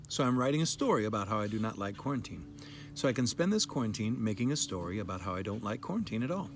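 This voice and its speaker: Man as a fed-up little girl, childlike, emphatic, repetitive, sad and earnest, dramatic story reading, clearly noisy background. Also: medium pitch, natural voice.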